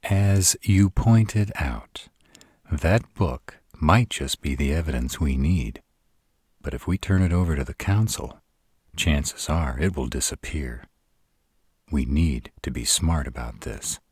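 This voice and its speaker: A man's voice: extremely low pitch, slow pace, darkish tone, breathy edge, no echo, clean studio sound, full character voice. A man playing an arrogant, formal and authoritative vampire prince in a roleplay audio drama. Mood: measured, urgent. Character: arrogant, commanding vampire prince, wary and strategic.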